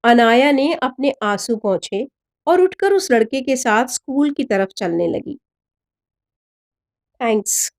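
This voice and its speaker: Woman, high voice, expressive storytelling, scripted dramatic narration. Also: unhurried. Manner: expressive, voices the dialogue of characters.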